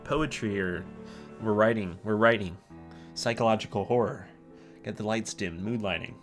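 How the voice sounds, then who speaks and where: A man's voice: lowish voice, moderate pace. A young man co-hosting a gaming video, making dry, joking remarks in casual commentary. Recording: loud music behind the voice.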